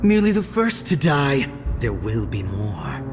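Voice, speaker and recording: An actor voicing a cold, arrogant young male shadow assassin in a game, menacing and measured. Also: mid-range voice, huge pitch swings, over music. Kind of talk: game voice lines.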